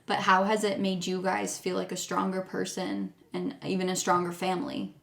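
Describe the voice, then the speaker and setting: A woman's voice: fairly low pitch, normal speed, vocal fry, a bit bright. A young woman hosting a casual family podcast, chatty and asking her relatives leading questions. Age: young adult. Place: family home, around a table. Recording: echoey room.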